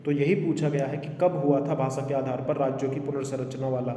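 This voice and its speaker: Echoey male exam teacher, mellow higher voice. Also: fairly even intonation, brisk. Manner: instructive, repeats questions, switches into English phrases. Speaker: online exam coaching teacher. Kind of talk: quiz lesson addressed to viewers. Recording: echoey reverberant room with faint noise.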